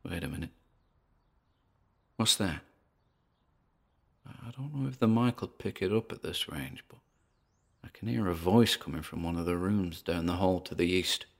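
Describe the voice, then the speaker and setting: An actor playing a frightened paranormal investigator who narrates his exploration aloud in an audio drama. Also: sits low. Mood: wary, hushed. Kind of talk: audio drama acting, found-recording monologue.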